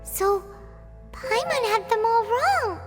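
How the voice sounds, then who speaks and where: A tiny childlike fairy sidekick from a video game. The squeaky voice sits extremely high and swings lively up and down at an unhurried pace. The manner is excitable and inquisitive. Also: female voice, exaggerated voice. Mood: curious, musing. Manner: exclamatory, inquisitive, refers to herself by name. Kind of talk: video game character acting. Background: music.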